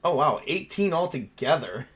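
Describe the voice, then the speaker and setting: A man narrating a tabletop roleplaying game casually, with animated, informal storytelling as game master and player. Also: fairly high pitch, normal speed, a crowd in the background.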